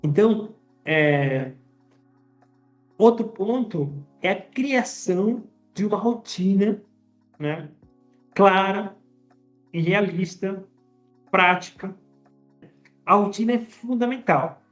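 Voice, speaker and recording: A language teacher talking casually to viewers, often tagging sentences with a quick question and restarting phrases. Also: mid-range voice, animated, faint music behind. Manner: conversational, frequent tag questions and restarts. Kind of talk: casual teaching monologue to camera.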